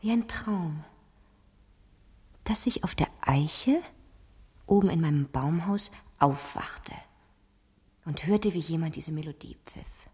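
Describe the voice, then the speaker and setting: A scheming teenage girl in a radio drama, with a breathy, husky voice that sits on the low side. She tells her tale deliberately and theatrically, sure of her own cleverness. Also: mellow, exaggerated voice. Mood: plotting, dramatic. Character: cunning fifteen-year-old girl, imaginative, scheming, self-assured. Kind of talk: 1970s German radio play monologue.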